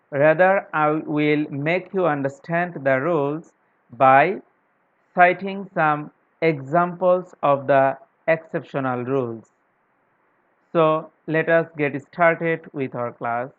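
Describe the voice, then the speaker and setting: A man with a Bangladeshi accent and Bengali as his native language, teaching an English grammar class in a deliberate way, repeating phrases as he explains. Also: fairly high pitch, clear, resonant tone. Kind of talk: instructional grammar lesson. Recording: thin, phone-like narrow-band sound.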